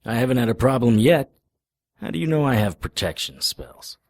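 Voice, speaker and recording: An actor playing a tough, wry occult investigator in a hardboiled radio drama, guarded and gruff in manner. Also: male voice, mid-range voice, lively intonation, moderate pace, dry recording. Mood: guarded, irritated. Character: tough, wry male occult investigator, narrating his own cases.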